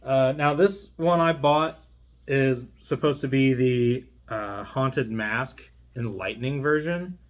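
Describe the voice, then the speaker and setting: A man's voice: medium pitch, slow. A man chatting casually and unscripted as he presents an unboxing video, in a rambling, conversational way. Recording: clear steady background hum.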